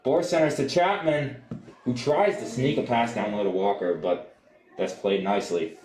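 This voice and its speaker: A young adult man doing live soccer play-by-play for radio, describing the action in an animated way. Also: mid-range voice, normal speed, roomy sound, rough recording. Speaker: play-by-play sportscaster.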